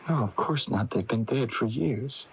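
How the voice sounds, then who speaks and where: An actor playing a troubled, withdrawn young man in a radio mystery drama, haunted and evasive, speaking haltingly and defensively. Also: medium pitch, husky, exaggerated voice. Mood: uneasy, halting. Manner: halting, defensive, repeating himself. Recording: muffled 1980s AM radio tape, music behind.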